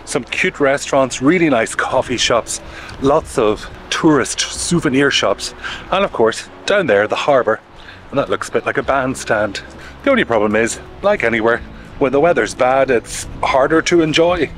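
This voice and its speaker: A man's voice: medium pitch, expressive, moderate pace, fairly bright tone, slight background noise. A man, chatting wryly and cheerfully as a travel vlogger, filmed on a camera at arm's length outdoors in the rain and inside a campervan. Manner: chatty, wry and upbeat. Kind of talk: casual travel vlog narration. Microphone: handheld camera at arm's length. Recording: music playing underneath.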